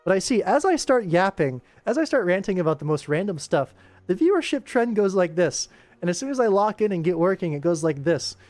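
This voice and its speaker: Casting: young man, streamer-animator, lightly higher register, loose chatty work-along delivery over background music. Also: sing-song, fairly quick, a little mellow, no echo. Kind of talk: casual rambling livestream commentary while working.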